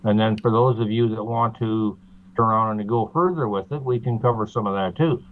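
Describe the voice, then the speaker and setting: A man from Canada, a guest in an interview, explaining steadily with frequent pauses and fillers over a video call. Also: lowish voice. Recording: tinny phone-like line.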